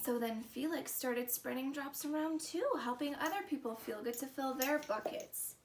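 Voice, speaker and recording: A woman hosting a story time for young children, talking in simple, animated, exclaiming phrases. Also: high-pitched, moderate pace. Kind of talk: expressive read-aloud to preschool children. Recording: roomy echo, distant mic, background noise.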